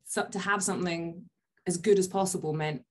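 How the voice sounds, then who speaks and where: Low-voiced woman, 30s, podcast co-host, anecdotal, lots of ums, webcam audio. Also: steady tone, normal speed, slight background noise. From Scotland. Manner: rambling anecdotes with many fillers. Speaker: podcast co-host from a family bakery. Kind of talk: casual video call chat. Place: indoor office with posters. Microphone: video call webcam.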